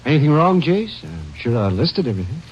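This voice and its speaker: A man's voice: fairly high pitch, big pitch swings, fairly quick. An actor playing an obliging, businesslike police lab man in old-time radio crime drama style. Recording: dull, noisy vintage radio recording.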